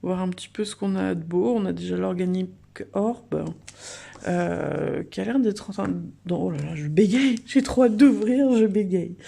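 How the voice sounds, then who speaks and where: A woman's voice: fairly low pitch, normal speed, creaky, warm tone, slightly rough. A woman giving chatty, enthusiastic casual commentary as the host of a card unboxing video.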